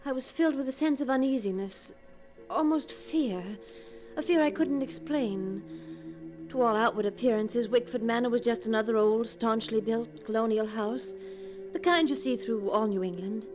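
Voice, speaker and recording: An actress playing an uneasy, devoted young wife in a 1940s radio drama, speaking in an earnest, careful, dramatic way. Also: female voice, on the high side, normal speed, music in the background, natural voice. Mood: uneasy, foreboding.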